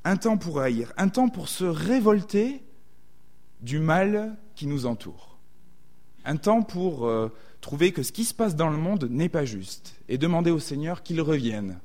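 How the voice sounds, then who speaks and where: A man's voice: on the high side, a little mellow. A man with a southwestern French accent preaching a sermon, thoughtful and often hesitating to reword his thoughts.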